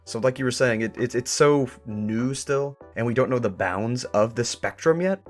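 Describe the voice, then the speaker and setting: A man in a casual podcast discussion, explaining with quick enthusiasm. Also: medium pitch, faint music behind.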